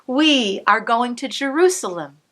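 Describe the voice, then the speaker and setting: A woman cantor reading a picture book aloud to children, pausing to explain the pictures, sometimes dropping to a whisper. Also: fairly high pitch. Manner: slow, expressive storybook reading with soft whispered asides. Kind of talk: read-aloud storytime with asides to kids.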